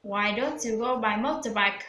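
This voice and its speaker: A woman with a somewhat high voice gives careful answers in a practice conversation. Each line comes slow and even, as if recited. The room adds a clear echo. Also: slight background noise. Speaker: student. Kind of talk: scripted English speaking practice.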